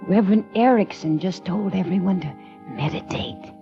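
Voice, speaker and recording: An actress playing a friendly young woman in church in a 1950s radio drama, speaking in a hushed, husky voice. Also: lowish voice, expressive, moderate pace, music in the background. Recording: dull old radio recording.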